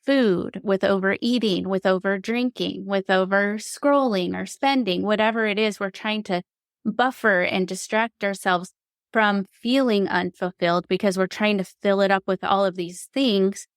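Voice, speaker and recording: Smooth-voiced affirming woman host. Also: medium pitch, fairly even intonation, moderate pace, no room sound, clean studio sound. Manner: affirming, empathetic, asks open questions. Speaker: podcast host. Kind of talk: conversational interview.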